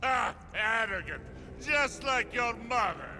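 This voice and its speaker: An actor playing a brutal, sneering crime boss villain who taunts and threatens in a video game cutscene. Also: male voice, very high-pitched, animated, slow, background noise, heavily acted. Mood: menacing, mocking. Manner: menacing, taunting, swearing.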